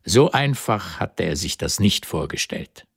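An actor narrating a historical radio drama in vivid scripted present tense, with a husky voice. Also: male voice, low-pitched, big pitch swings, measured pace, dry recording, clean studio sound, heavily acted. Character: male narrator of a historical story.

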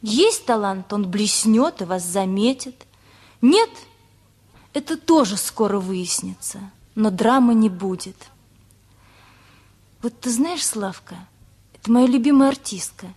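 An actress playing a headstrong, earnest teenage girl with a husky voice in a radio play. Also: mid-range voice, lively intonation, unhurried, long pauses, darkish tone. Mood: indignant, defiant.

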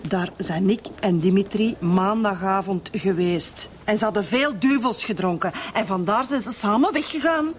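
A woman acting as a brash Flemish mum in a radio serial, cheeky and full of herself. Her voice is medium-pitched and colloquial, with faint noise behind it. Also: normal speed, few pauses, close to an everyday voice. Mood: cheeky, proud. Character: brash, chatty, self-satisfied mother of a young man.

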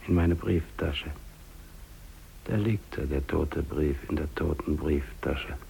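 An actor playing a dying English polar explorer, middle-aged, lonely and resigned, speaking in halting broken phrases in a 1950s German radio drama. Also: male voice, extremely low pitch, vocal fry, a little mellow, exaggerated voice, poor audio. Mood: weary, resigned. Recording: hissy old radio recording.

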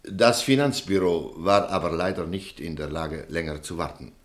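Male actor for a smooth, quietly persuasive extortioner in a radio play; very low voice. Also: lightly acted. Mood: coaxing. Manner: soft-spoken, coaxing, measured. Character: smooth, calm male extortioner named Walter. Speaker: radio drama character.

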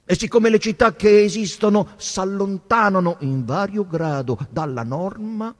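Husky, slow, brooding emperor played by a stage actor. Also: male voice, high-pitched, long reverb tail. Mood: imperious, musing. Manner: declamatory, deliberate, with long pauses. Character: melancholic Mongol emperor, imperious, brooding, musing aloud. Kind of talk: theatrical literary stage reading.

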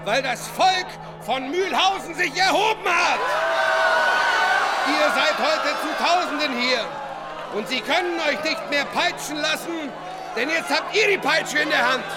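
High, shouting rebel preacher. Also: male voice. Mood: rousing, defiant. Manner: declamatory, drawn-out, solemn phrasing. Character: fiery rebel preacher rousing a peasant crowd. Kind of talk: oratorical radio drama speech. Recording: loud music and crowd behind.

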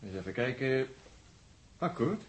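A man's voice: mid-range voice, sing-song, unhurried. An actor with a Netherlands Dutch accent playing a cheeky, teasing spaceship crewman in a 1960s radio drama, jaunty and needling.

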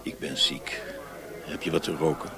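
Radio play role: burnt-out doctor on opium, low-pitched, bleak, muttering, fatalistic. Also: male voice, flat delivery, normal speed, sharp tone, heavily acted. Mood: bitter, despairing. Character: weary, opium-craving former asylum doctor, gloomy and haunted. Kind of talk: radio drama acting.

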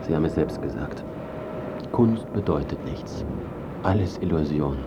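An actor playing a self-important, scheming art critic who narrates and acts in a radio drama, glib and evasive. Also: male voice, rumbling low, animated, moderate pace, very noisy.

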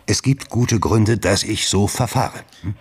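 An actor playing a genteel doctor with a cruel, sinister second nature in a German radio drama, speaking in a measured, theatrical way. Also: male voice, lowish voice, breathy edge. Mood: polite, firm.

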